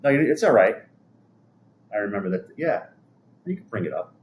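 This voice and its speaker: A man's voice: fairly low pitch, big pitch swings, fairly quick. A man, chatting casually on a livestream in a rambling, conversational way that often trails off. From New York City, USA. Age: 50s. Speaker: livestream host. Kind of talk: casual livestream chat, answering viewer comments.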